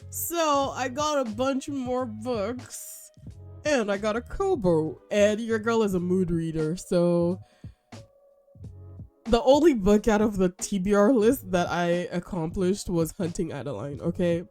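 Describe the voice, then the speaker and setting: A young woman hosting a casual book review vlog, chatty and animated with slang, sounding a little nasal from a cold. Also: mid-range voice, measured pace, soft background music. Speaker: host.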